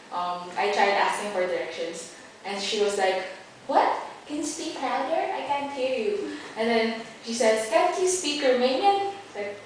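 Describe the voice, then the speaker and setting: Young woman chatting in a very echoey room. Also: mid-range voice. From Philippines. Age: young adult. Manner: chatty, animated storytelling with frequent giggles and "like". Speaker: interviewee, volunteer trainer. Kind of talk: casual interview chat. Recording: echoey room, distant mic, faint noise.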